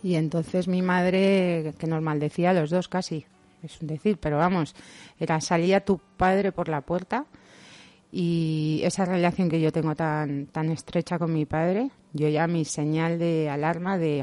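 Young spanish woman being interviewed, thoughtful and open, lots of little pauses, dry close sound. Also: low voice, warm tone, no room sound. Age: young adult. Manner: reflective and open, pauses often with hesitations. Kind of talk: personal memories in casual conversation.

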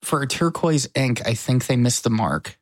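A man's voice: mid-range voice, big pitch swings, moderate pace, dry recording, clean studio sound. An American man co-hosting a podcast in casual, wry and self-deprecating conversation. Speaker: podcast co-host. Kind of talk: casual chat.

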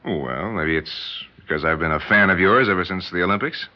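An actor playing a self-assured, persistent suitor in a 1960s radio drama, teasing and probing in an easy way. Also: male voice, deep voice, normal speed, close to an everyday voice. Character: confident, teasing, persistent male suitor and sportsman. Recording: dull 1960s radio recording.

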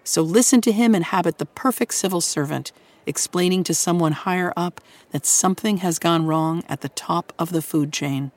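A woman hosting a radio show, giving a scripted introduction to a story in a measured, articulate way with deliberate pauses. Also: fairly low pitch, a little mellow, a little hiss or hum.

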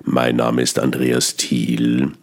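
A man from Bern with a Swiss German accent, hosting a musing radio talk on language with small restarts and pauses. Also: a bass voice, completely flat delivery, creaky. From Switzerland (Bern). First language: Bernese Swiss German. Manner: musing, digressive, with restarts and ähs. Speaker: radio host, satirist. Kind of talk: spoken philosophical radio column.

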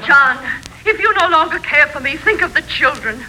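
A woman's voice: high-pitched, lively intonation, normal speed. A woman acting an overwrought, imploring housewife in a 1940s radio soap opera. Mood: desperate pleading. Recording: noisy 1940s radio recording with audience.

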